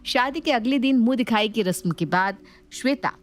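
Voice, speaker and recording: A woman's voice: fairly high pitch, animated, moderate pace, soft background music. A woman narrating a scripted family story as a storyteller.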